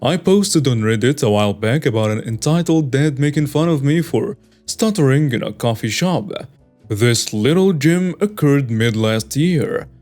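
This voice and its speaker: A man's voice: medium pitch, darkish tone. Man narrating stories over faint music. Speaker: story narrator. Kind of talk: scripted narration of online stories. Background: faint background music.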